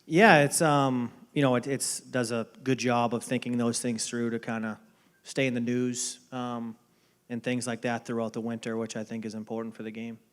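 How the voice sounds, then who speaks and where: A man in his thirties from Boston with a Boston accent, answering interview questions in a relaxed way with many ums and restarts. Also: medium pitch, normal speed, smooth. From Boston area. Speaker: baseball front office executive. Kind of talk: casual interview at a dinner event.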